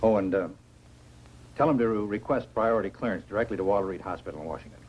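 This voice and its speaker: A very low-pitched, grave male scientist in charge, played by a film actor. He speaks in terse, commanding lines in 1950s science fiction style. There is faint noise from an old film soundtrack. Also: fast, highs cut off, natural voice.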